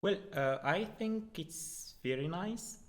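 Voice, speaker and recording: A young man with a Moroccan accent, a native Arabic speaker, explaining his research in a halting, hesitant way as an interview guest. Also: on the high side, animated. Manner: hesitant, halting, with repeated words. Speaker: doctoral student guest.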